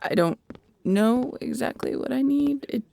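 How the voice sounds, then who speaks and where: A woman voicing a snarky, excitable young scientist in an audio roleplay, chatty and nerdy. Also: fairly high pitch, measured pace, creaky, slight background noise, exaggerated voice. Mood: nerdy, explaining. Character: snarky, excitable young female scientist aboard a pirate ship. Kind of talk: audio roleplay acting addressed to the listener.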